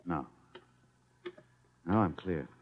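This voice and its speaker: A grimly resolute fugitive scientist in a vintage 1950s radio play. His voice is low and moves widely in pitch, earnest and urgent, protective of his wife. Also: lively intonation, extremely slow, phone-quality sound, a little hiss or hum, full character voice. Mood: subdued, remorseful. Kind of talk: 1950s radio drama acting.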